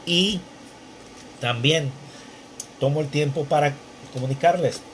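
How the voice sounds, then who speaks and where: A man teaching the Bible from his bedroom at home, talking haltingly with many fillers and restarts while wearing headphones. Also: fairly high pitch, fairly bright tone, slight background noise. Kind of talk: conversational Bible study intro.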